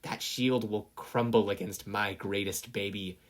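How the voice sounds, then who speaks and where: Narrator as a quiet, coldly menacing teenage girl. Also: sits low, steady tone, unhurried. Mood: cold rage. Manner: quiet, cold, desolate. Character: wounded teenage girl inventor turned cold, quietly menacing avenger.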